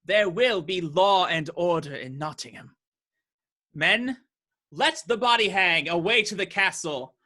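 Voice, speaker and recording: A tyrannical sheriff in a radio drama. The delivery is slow and righteous, with wide swings in pitch. He sounds pompous and menacing, with a sharp, forceful edge. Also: expressive, full character voice. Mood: smug, imperious. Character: cruel, menacing male sheriff, righteous law-and-order tyrant. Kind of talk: radio play acting.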